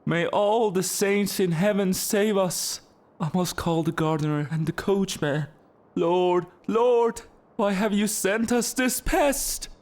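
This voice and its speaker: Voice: medium pitch, takes it slowly, a little mellow, natural voice. A performer playing a frightened, pious old male servant in a theatrical audio drama, lamenting and imploring.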